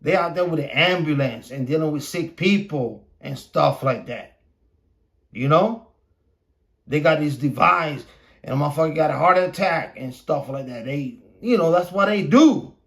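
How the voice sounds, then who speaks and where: A man who is a native Spanish speaker hosting a podcast, delivering an opinionated casual monologue in a blunt, profane, repetitive and halting manner. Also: a little high, lively intonation, fairly bright tone. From Puerto Rico. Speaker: podcast host.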